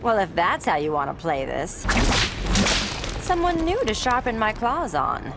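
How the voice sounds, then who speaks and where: A woman's voice: mid-range voice, sing-song, unhurried. An actress playing a sly, playful cat burglar who taunts her opponent in a video game. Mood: teasing. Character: sly, teasing female cat burglar villain. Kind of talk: video game character acting. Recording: loud sirens and game noise behind.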